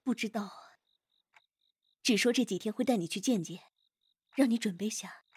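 Caring confidante, a woman acting: soft husky breathiness, attentive and gentle, for radio drama. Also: medium pitch, normal speed, no room sound. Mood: gentle, concerned. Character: gentle, attentive female confidante tending someone. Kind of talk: Chinese audio drama acting.

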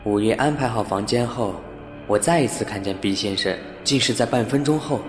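A man's voice: mid-range voice, fairly quick, natural voice. Man acting a polite, easily flustered building manager. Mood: bewildered narration. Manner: courteous, hesitant, theatrically puzzled. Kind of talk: Chinese sci-fi radio drama acting and narration. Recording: roomy sound with music underneath.

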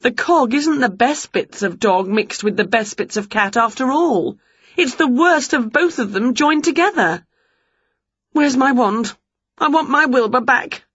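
A woman putting on a shrill excitable witch. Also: unhurried, breathy edge, dry recording, highs cut off, full character voice. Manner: shrill, exclaiming, squeaky high. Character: excitable scatterbrained female witch.

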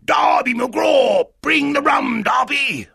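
Eerie storybook pirate phantom, shrill high voice, slow wailing shouts. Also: male voice, gravelly, dry recording, clean studio sound, exaggerated voice. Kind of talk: dramatised storybook reading.

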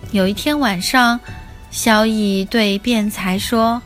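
A woman narrating a scripted children's story as its host, in an expressive, simply explaining way. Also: medium pitch, slow. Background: soft music.

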